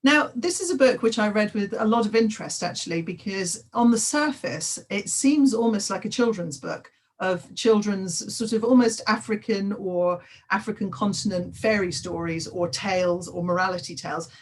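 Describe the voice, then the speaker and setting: A woman interviewing an author at a literary festival, asking questions politely and in a measured way. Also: mid-range voice.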